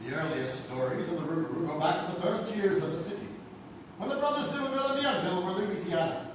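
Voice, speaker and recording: Echoey, distant radio-play part: actor as ominous archivist, male, high voice, deliberate storyteller. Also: lively intonation. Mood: ominous, welcoming. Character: theatrical older French keeper of secret city records. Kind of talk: live radio drama storytelling. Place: live theatre stage. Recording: echoey theatre, far mic, noisy.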